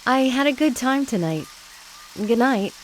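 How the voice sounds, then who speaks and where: An American woman playing a sincere, candid, soft-hearted young woman in a video game drama. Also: high-pitched, expressive, a little slow, a little hiss or hum, rough recording. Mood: warm, pleading. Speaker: voice actor in a game. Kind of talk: video game cutscene dialogue.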